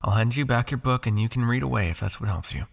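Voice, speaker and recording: Man acting a creaky, low-voiced possessive vampire captor. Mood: concerned, hesitant. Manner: coaxing, teasing, with pauses, sighs and murmured asides. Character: possessive, teasing male vampire captor and hypnotist boss. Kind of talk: intimate ASMR hypnosis roleplay, acted to the listener.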